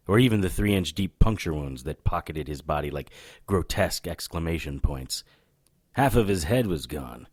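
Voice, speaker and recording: An actor playing a cocky, world-weary supernatural detective in a noir audio drama, sardonic and flippant. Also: male voice, rumbling low, no room sound, clean studio sound.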